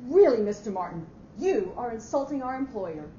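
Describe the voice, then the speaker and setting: A woman's voice: on the high side, sing-song, normal speed, mellow. A woman playing a brash, haughty and indignant office efficiency expert in a staged dramatic reading of a short story. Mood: haughty disapproval. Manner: haughty, sarcastic, emphatic. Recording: roomy, muffled live hall recording with hiss.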